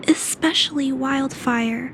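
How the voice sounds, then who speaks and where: A young girl in a video game. Her high voice is soft and hesitant, earnest and timid, yet stubborn. Clear ambient noise sits behind her. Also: slow, full character voice. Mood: earnest, worried.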